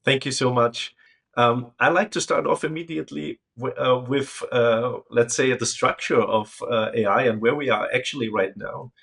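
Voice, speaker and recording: A man's voice: medium pitch, moderate pace, gravelly, a bit bright, slightly rough. A man hosting a business interview, asking questions hesitantly with many fillers. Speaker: interview host.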